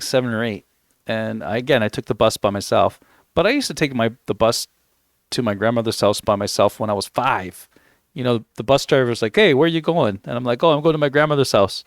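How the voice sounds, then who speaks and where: A man streams a game from home and talks casually into a desk mic, his first language Italian, though he grew up in Canada. His voice sits at a middle pitch and sounds close and dry, with almost no room around it. He rambles, restarts and corrects himself often. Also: no room sound. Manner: rambling, self-correcting storyteller. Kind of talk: casual chat while gaming.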